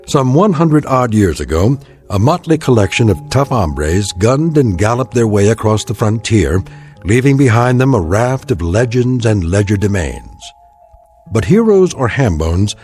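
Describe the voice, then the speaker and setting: A man with an American accent narrating a scripted radio drama as a wry, measured, ominous storyteller. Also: lowish voice, darkish tone.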